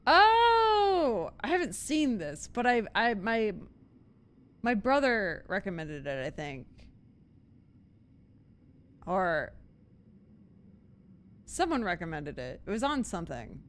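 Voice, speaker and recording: A woman's voice: mid-range voice, big pitch swings, slow, long pauses. A woman streaming a video game, chatting casually and thinking aloud in a giggly, talkative way. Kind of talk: casual live stream chat. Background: game music.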